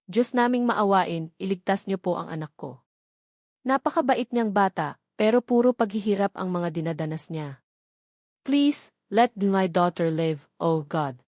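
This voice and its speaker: A woman's voice: medium pitch, expressive, measured pace, darkish tone, dry recording, studio quality. A woman with a Filipino accent voicing a troubled, tender bride and anxious mother in an audiobook, earnest and heartfelt. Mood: pleading.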